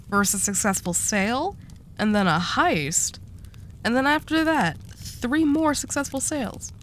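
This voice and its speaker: A woman's voice: medium pitch, fairly bright tone, a little hiss or hum. A woman playing a commanding yet haunted, sardonic female mafia boss in an audio roleplay. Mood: impressed, composed.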